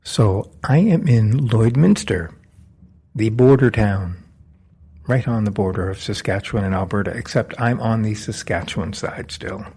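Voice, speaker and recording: A man's voice: on the low side, normal speed, a little mellow, breathy edge. A man hosting a podcast interview, telling how he met his guest in a conversational way, indoors at a culture centre. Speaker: podcast host.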